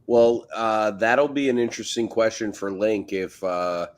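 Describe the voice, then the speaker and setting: A man's voice: fairly low pitch, unhurried. A man co-hosting a casual fight watch-along, making dry wisecracks. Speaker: co-host. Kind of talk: casual sports chat.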